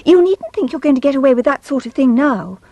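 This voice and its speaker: An actress playing a young, possessive newlywed wife in a British farce, prim and chiding. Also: female voice, sits high, expressive, fast, mellow, no echo, muffled recording.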